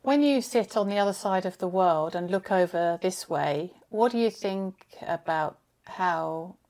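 A woman hosting a podcast, asking gently inquisitive interview questions. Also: lowish voice, a little slow.

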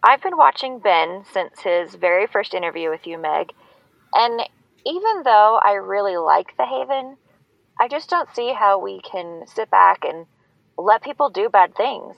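Nervous female call-in fan, earnest and halting, creaky voice, close dry sound, for audio drama. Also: mid-range voice, expressive, no echo. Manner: hesitant, earnest. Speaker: caller. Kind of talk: scripted fiction podcast acting. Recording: dry, dull call-in line.

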